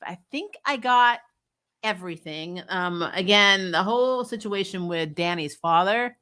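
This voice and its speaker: A woman's voice: mid-range voice, lively intonation, a little slow, pushed and bright. A woman hosting a casual, unscripted review show, talking bluntly and animatedly and acting out little quoted asides. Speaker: host of a TV episode review show. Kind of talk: casual unscripted review chat with viewer comments.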